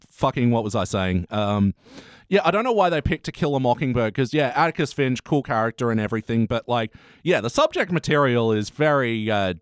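Podcast host: Australian man, medium pitch, rambling casual delivery, very dry sound. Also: animated, fairly quick, clean tone, dry recording. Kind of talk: casual TV review chat.

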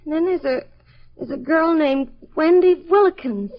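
A woman's voice: sits high, moderate pace, lightly acted. An actress playing an earnest, guileless young female library clerk who is easily flustered, in a 1950s radio drama. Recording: dull lo-fi vintage radio recording.

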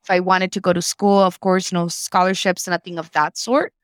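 A woman's voice: fairly low pitch, little pitch movement, moderate pace, clean tone, no room sound, studio quality. A woman, reflectively telling her story as an interview guest and slipping Spanish phrases into her English. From Mexico.

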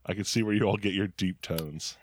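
A man's voice: low voice, steady tone, brisk. A man co-hosting a comedy podcast, playing along with dry, teasing banter. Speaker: podcast co-host. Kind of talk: casual comedic podcast chat.

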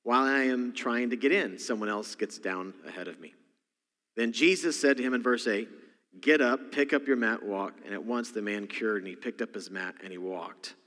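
A man's voice: mid-range voice, normal speed, fairly bright tone. A man with a Texas accent, a senior pastor preaching a sermon with folksy, joking asides. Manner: folksy, jokey storytelling with asides. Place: church sanctuary. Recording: long church hall reverb.

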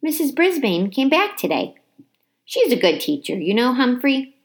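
Storybook night janitor, man voiced by a woman: friendly, jovial, exclamatory, very lively intonation. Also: on the high side, moderate pace, voice pushed up. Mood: friendly, chatty. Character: friendly, jolly male night janitor, chatty and playful.

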